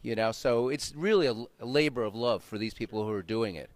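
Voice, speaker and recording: A man's voice: medium pitch, brisk. A man with an American accent, giving informal, explanatory answers as an expert guest in an interview. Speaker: interview guest, audio magazine writer and panel leader.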